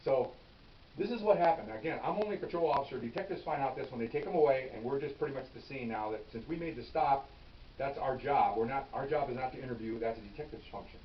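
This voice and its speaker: A retired police officer, an older man, telling a story from his career to the camera in a casual, animated way and acting out the shouting. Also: mid-range voice, fast. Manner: animated, acts out his own yelling. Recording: roomy, distant, dull with hiss.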